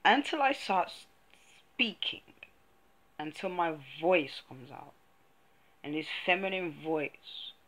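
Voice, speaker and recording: Young man, slow unhurried delivery, hesitant and frank, lots of ums, casual vlog. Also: high voice, sing-song, a bit bright, low-quality recording. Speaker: vlogger. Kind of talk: casual personal vlog talk.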